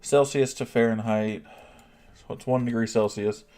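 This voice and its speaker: A man talks loosely to his live stream audience, answering chat as he goes. He thinks out loud and often stops to rephrase before he finishes a thought. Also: mid-range voice, steady tone, moderate pace. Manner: chatty, reads chat aloud, often restarts and thinks out loud. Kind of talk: casual livestream chat.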